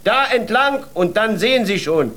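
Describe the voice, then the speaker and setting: A man's voice: sits high, animated, normal speed, fairly bright tone. A whimsical, theatrical father who takes himself for a king. It is children's radio drama, earnest in tone, with a voice that rises and falls freely. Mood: grandly confident. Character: whimsical poor father playing at being king. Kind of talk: children's radio play acting.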